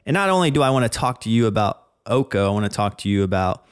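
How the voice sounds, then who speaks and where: A man's voice: on the low side, animated, fast, clear, resonant tone, no room sound, studio quality. A man hosting a podcast, talking loosely with many fillers as he leads a casual interview. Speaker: podcast host.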